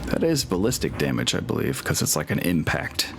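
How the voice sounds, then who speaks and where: Low voiced guy game mastering, chatty, thinks out loud, hypes his players, music playing behind. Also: sing-song, a little fast, creaky. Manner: thinking aloud, encouraging. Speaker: game master of an online tabletop campaign. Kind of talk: casual game refereeing chat.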